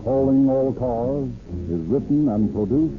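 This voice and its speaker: A man's voice: medium pitch, a little slow, soft background music. A man delivering dramatic scripted narration as a 1930s radio announcer. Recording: tinny, hissy old radio recording.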